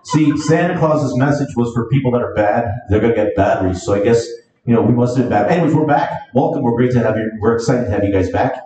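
A man's voice: mid-range voice, fast, mellow. Upbeat man hosting in an echoey hall. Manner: upbeat, chatty, announcing songs. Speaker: event host and club president. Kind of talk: casual stage hosting between songs. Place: large club hall with holiday decorations. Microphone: far camera in the hall. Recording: echoey hall from far off, live music. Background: live band music.

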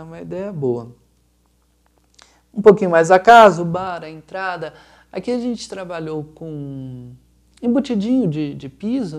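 A man's voice: a little high, creaky, darkish tone. A middle-aged Brazilian man giving an informal explanatory presentation, rambling casually and searching for words aloud. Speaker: lighting designer presenting a project video.

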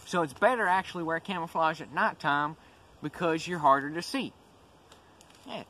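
A man's voice: a little high, normal speed, bright, forceful tone. A young man hosting a casual, folksy instructional video, explaining things informally to the camera. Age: young adult. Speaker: host. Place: outdoors in woodland. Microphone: handheld camera a few metres away. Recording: outdoor ambient noise, camera mic.

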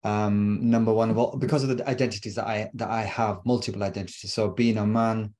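Man in his 50s, lowish voice, thoughtful, repeats words as he goes, video call from home. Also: steady tone, a little fast, darkish tone. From Britain. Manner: reflective, repeats words while searching for phrasing. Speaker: relationship coach guest. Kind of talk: casual interview talk. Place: home room with bookshelves.

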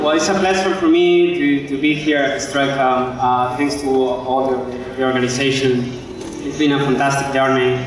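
Male guest lecturer, thirties, Spanish accent, hesitant phrasing, heard somewhat distantly through a heavily echoing stage PA. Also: mid-range voice. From Spain. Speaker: guest lecturer, architect and educator. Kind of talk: informal public lecture. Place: open-sided stage before an audience. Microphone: handheld microphone through a PA. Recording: very echoey, distant from the microphone.

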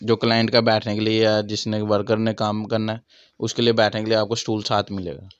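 Clear-voiced Pakistani salesman. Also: lowish voice, monotone, a little fast, muffled recording. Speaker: furniture shop owner. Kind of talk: interview, sales explanation of products and prices. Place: small crowded furniture shop, indoors. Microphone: handheld microphone held by interviewer.

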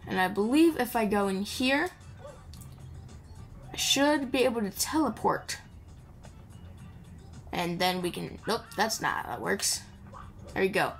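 Female gamer for a casual playthrough: playful, chatty, unscripted, mid-pitched, game music underneath. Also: expressive, a little slow, long pauses. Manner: chatty, playful, mumbles asides to the game character. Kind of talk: casual improvised gameplay commentary. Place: indoor voice-over recorded over game audio. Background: video game music.

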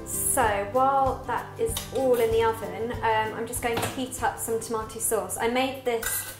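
A woman's voice: on the high side, steady tone, measured pace. Upbeat young British woman cooking, roomy kitchen. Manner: upbeat, chatty, talks while cooking. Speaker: cookbook author and guest cook. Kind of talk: cooking demonstration chat. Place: bright home kitchen. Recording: roomy kitchen with music behind.

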